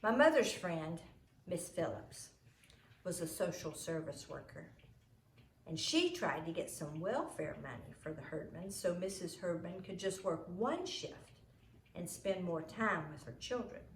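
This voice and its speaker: A woman's voice: lowish voice, unhurried. Woman reading a storybook in an echoey room. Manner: expressive, acts out the dialogue. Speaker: mother reading a children's book aloud. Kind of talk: storybook read-aloud narration. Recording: roomy echo, noisy home recording.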